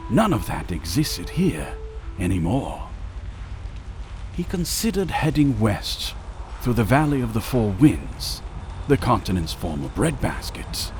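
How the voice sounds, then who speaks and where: A man reading scripted narration for a dramatic audio story in a measured, theatrical manner. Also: fairly low pitch, very animated, a little mellow, a little hiss or hum.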